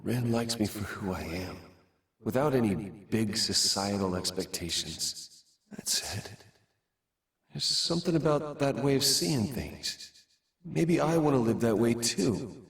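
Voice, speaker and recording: A man's voice: lowish voice, animated, measured pace, slightly rough, exaggerated voice. A conflicted, earnest young guy. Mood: pensive. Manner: earnest, searching, sometimes exasperated. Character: conflicted young man torn over his love life. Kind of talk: game cutscene dialogue. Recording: with background music.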